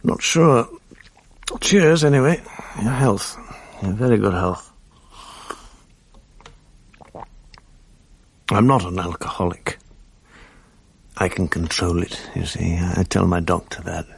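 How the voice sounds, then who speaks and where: An actor playing a weary, hard-drinking, self-pitying English TV producer in a radio drama, wry, rueful and rambling. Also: male voice, low voice, measured pace, vocal fry, slightly rough, exaggerated voice. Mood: tipsy, maudlin. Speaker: lead actor and narrator. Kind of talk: confessional radio drama monologue.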